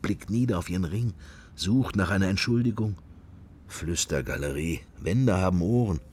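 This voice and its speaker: A man's voice: sits low, moderate pace, darkish tone, husky. An actor playing a wry, sceptical middle-aged man murmuring his fragmentary private thoughts in a radio drama. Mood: sceptical musing. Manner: murmured, fragmentary, associative musing. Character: musing middle-aged man, wry, sceptical, worldly, silently observing church. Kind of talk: radio drama interior monologue.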